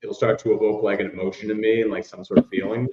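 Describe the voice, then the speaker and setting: Low-voiced young man, echoey lo-fi. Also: level tone, fast, very noisy. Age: young adult. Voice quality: baritone speaking voice. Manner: rambling, many "like" fillers. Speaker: musician guest. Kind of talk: casual interview chat. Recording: echoey, dull lo-fi room sound.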